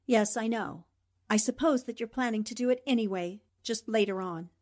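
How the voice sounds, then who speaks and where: A fairly high-pitched actress as a female newspaper reporter in a radio drama. She is plucky and wry, keeping her composure with a sharp tongue. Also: moderate pace, natural voice. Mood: wry defiance.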